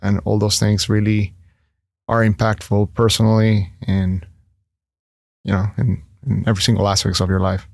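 A man's voice: fairly low pitch, flat delivery, moderate pace, gravelly, no echo, clean studio sound. A man in his thirties from Ecuador, a guest in a casual interview, talking earnestly with many fillers and restarts. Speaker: guest, entrepreneur.

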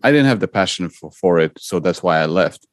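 A man's voice: deep voice, animated, a little fast, no echo, clean studio sound. A middle-aged man from Poland, earnestly explaining his investing path as a podcast guest. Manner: earnest, explanatory, some repeated starts. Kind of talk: interview answers.

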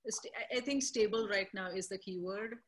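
A woman hosting an interview, speaking in a thoughtful, halting way with fillers. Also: medium pitch. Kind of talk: conversational interview.

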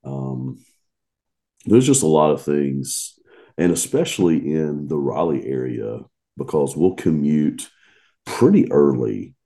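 Man, co-host, very deep voice, mellow tone, relaxed, laughs easily. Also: slow pace. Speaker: podcast co-host. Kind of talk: casual podcast chat. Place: remote recording.

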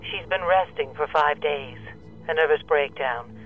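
A formal, aloof woman on a household telephone in a vintage radio play. The voice is thin and tinny through the line, measured in delivery, with faint music underneath. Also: low voice. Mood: grave, detached. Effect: telephone filter. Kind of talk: 1940s radio drama acting.

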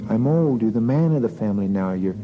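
A man's voice: animated, fairly quick, narrow, tinny audio, background noise, close to an everyday voice. A man voicing a stern widowed mother who scolds her children, with a New Mexican accent. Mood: scolding. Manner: sharp scolding, high strained voice.

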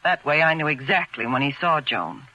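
Sharp, composed noir widow. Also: female voice, low voice, sing-song, fairly quick, muffled recording, exaggerated voice. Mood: tense, earnest. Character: cool, composed, unsentimental widowed housewife. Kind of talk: 1940s radio noir acting. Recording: old radio drama recording.

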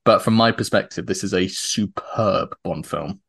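A man's voice: low-pitched, normal speed, creaky, fairly bright tone, breathy edge. A British man chatting enthusiastically as a podcast guest, laughing often between his points. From Britain. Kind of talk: casual chat.

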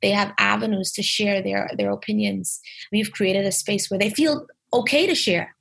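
A young adult woman with a Bahamian accent, a teacher answering interview questions in a lively, emphatic way. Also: medium pitch, normal speed, gravelly, breathy edge. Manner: lively, emphatic, restarts phrases.

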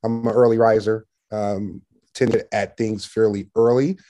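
A man speaking as an interview guest in a conversational business style, with a loud, assertive, salesman-like delivery. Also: fairly low pitch, flat delivery, unhurried. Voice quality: loud, projecting voice.

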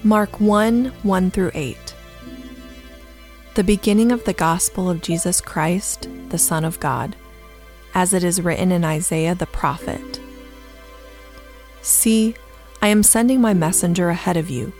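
A woman reads scripture aloud in a slightly low voice, very slowly and deliberately. Her delivery is solemn and measured. Gentle new-age instrumental music plays clearly underneath. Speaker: scripture reader.

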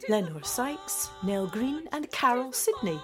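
A woman hosts a podcast and reads her introduction from a script. Her delivery is slow and formal, with an even mid-range pitch and faint music playing behind her. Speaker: podcast host, theatre artistic director.

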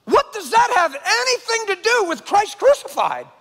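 A pastor in his fifties preaching a sermon, swinging from low, quiet passages to shouting intensity. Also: wildly swooping pitch, normal speed. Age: 50s. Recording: long hall reverb.

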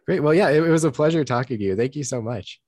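A man's voice: mid-range voice, animated, fast talker, a little mellow. A fairly young man hosting a podcast in a casual, conversational style. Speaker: podcast host. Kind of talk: casual interview chat and podcast sign-off.

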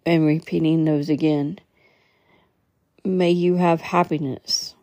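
A woman leading a guided meditation in a soothing, measured way, occasionally stumbling as she reads. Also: low-pitched, steady tone, mellow, dry recording. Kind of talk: guided meditation, slow instructional reading.

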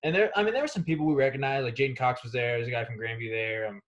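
A man's voice: medium pitch, rapid-fire, muffled recording. A young man from Kansas City, a college wrestler, chatting casually in an interview with lots of fillers and restarts. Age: young adult. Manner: relaxed, rambling with many fillers.

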